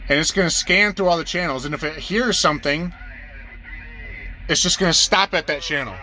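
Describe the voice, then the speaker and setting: A man's voice: fairly high pitch, a little fast, sharp tone. A man explaining things in a casual, plain-spoken, hands-on way, repeating his instructions step by step like a trucker showing a beginner the ropes. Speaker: trucker giving a tutorial. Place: inside a truck cab.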